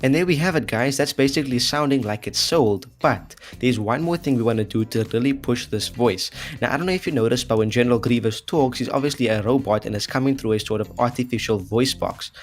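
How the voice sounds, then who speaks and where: A man's voice: medium pitch, brisk, few pauses, faint music behind. A man hosting an audio effects tutorial in a casual, chatty, jokey way, talking informally to viewers. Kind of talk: casual scripted tutorial narration.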